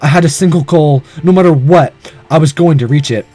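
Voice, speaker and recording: A man's voice: fairly high pitch, fairly quick, dark tone, soft background music. A man reading a visual novel aloud in dramatic first-person narration.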